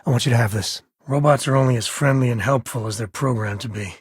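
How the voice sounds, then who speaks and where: Husky rugged American space cowboy, played by a man. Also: medium pitch, fast talker, no echo, clean studio sound, lightly acted. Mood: earnest, measured. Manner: folksy, wry, earnest delivery. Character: rugged frontier space-cowboy companion, wry, protective father. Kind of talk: video game character voice acting.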